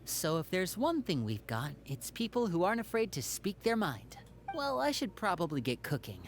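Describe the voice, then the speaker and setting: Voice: medium pitch, sing-song, moderate pace, soft background music. An actor voicing a friendly, charming young stage magician in a game.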